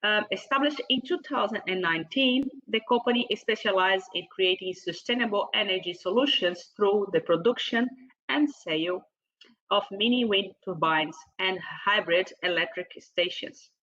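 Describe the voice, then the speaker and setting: Bright-voiced woman moderating, slightly muffled. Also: medium pitch. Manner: halting, hesitant delivery. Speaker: panel moderator introducing speakers. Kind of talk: formal introduction partly read from notes. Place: online video meeting.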